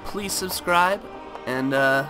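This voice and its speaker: A man with a raspy, just-woken-up voice talking through a game item comparison in a relaxed way, full of fillers. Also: high voice, slow, rough recording. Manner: relaxed, lots of fillers and hedges. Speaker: gaming video host. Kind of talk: casual game commentary. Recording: loud background music.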